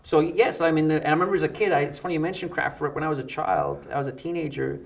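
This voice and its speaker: Fast-talking, higher-pitched middle-aged man. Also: animated. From United Kingdom. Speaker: interview guest, electronic musician. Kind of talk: conversational interview, anecdotes. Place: dark indoor studio set, seated.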